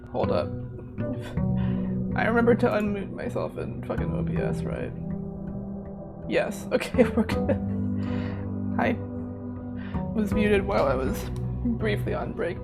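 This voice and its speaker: A slightly hoarse woman chatting casually to her viewers while she plays a game, rambling and laughing as she goes. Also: mid-range voice. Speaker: streamer. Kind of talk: casual live-stream chat while gaming. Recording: game music playing behind.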